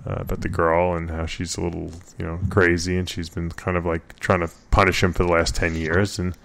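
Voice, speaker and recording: A man's voice: sits low, little pitch movement, fairly quick, creaky, a bit bright. A man hosting a casual radio talk show, rambling and joking with plenty of fillers. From Florida. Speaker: host.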